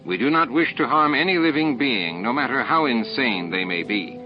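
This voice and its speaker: A calm alien hive leader from a sci-fi audiobook, acted out by a man, smooth, formal and condescending. His medium-pitched voice moves in lively swings, with music behind a dull recording. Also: normal speed, lightly acted. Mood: matter-of-fact. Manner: smooth, formal, self-assured.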